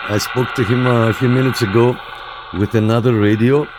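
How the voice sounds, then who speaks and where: A man's voice: mid-range voice, steady tone, a little fast. A man making casual radio contacts and chatting to viewers, informal and repeating radio call phrases. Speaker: CB radio operator and YouTube channel host. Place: indoors in a room at a radio set. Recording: clear background noise and radio clicks.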